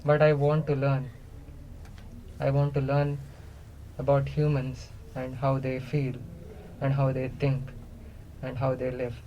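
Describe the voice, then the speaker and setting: A man playing a captive, all-knowing non-human being in a radio play, curious and literal, speaking in halting, deliberate pieces. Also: medium pitch, monotone, muffled recording, a little hiss or hum. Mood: earnest. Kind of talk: semi-improvised radio play dialogue.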